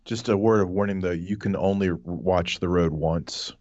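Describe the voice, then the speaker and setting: A man's voice: sits low, moderate pace, darkish tone, dry recording, highs cut off. A man co-hosting a podcast in casual, blunt conversation, giving his opinions. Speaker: podcast co-host.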